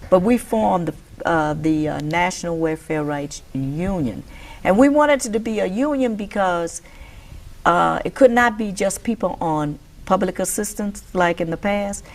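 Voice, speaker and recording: Woman with a low, gravelly voice. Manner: unhurried, with filler restarts. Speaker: welfare rights organizer. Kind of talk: recalled interview testimony. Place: dark studio, indoors.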